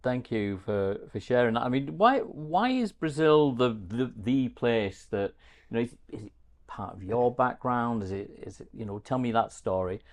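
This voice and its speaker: A man's voice: medium pitch, animated, moderate pace. A man hosting a podcast in casual interview talk, hesitant and rambling as he circles around his questions. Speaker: podcast host.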